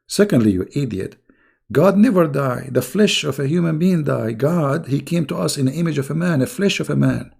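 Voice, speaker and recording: Hoarse, gravelly man mocking his opponents. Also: a little high, expressive, moderate pace. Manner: mocking, scornful, with sudden loud rises. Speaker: YouTube channel host. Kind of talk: casual polemical monologue to viewers.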